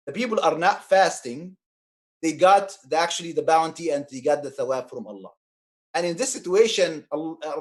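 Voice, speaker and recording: A man from Egypt with an Egyptian Arabic accent, giving an earnest, preacherly talk on faith and social justice, often repeating phrases and restarting. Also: fairly high pitch. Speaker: speaker on Islam and social justice. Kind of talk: impassioned religious talk.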